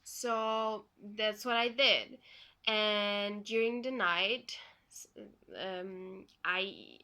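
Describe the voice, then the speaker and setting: A young Belgian woman speaks English as a second language, telling her own story slowly and without hurry. Her voice is bright and clear, and she fills the gaps with soft ums as she goes. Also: mid-range voice. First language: not English. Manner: unhurried, plain retelling with frequent ums. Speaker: vlogger. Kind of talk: personal story vlog.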